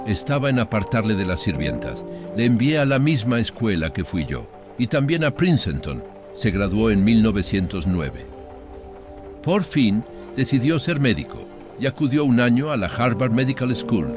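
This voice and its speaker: A man's voice: medium pitch, natural voice. A man voicing a proud, lonely old planter who recounts his family past in a wistful, dignified audiobook monologue.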